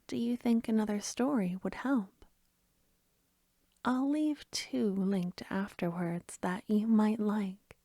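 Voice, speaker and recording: A woman speaking gently and softly to her listeners as an ASMR creator, in a hushed voice. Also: mid-range voice, takes it slowly, no room sound, studio quality. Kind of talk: soft-spoken ASMR sign-off.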